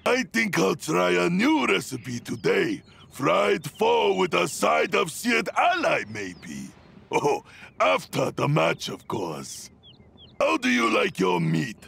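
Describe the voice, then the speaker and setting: Game oni warrior: bloodthirsty and theatrical, very gravelly, wildly swooping pitch. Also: male voice, wildly swooping pitch, takes it slowly, a bit bright, exaggerated voice. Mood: menacing relish. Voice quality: raspy, gravelly. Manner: theatrical, booming, menacing. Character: boisterous, bloodthirsty male oni demon warrior who relishes slaughter. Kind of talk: video game character voice lines.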